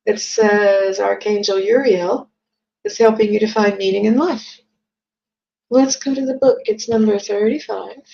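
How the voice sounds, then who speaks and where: Woman, gravelly scratchy voice, chatty live-stream psychic, dull lo-fi audio. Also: mid-range voice, mellow. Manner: chatty, friendly, reads viewer comments aloud. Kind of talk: casual live-stream chat and card reading.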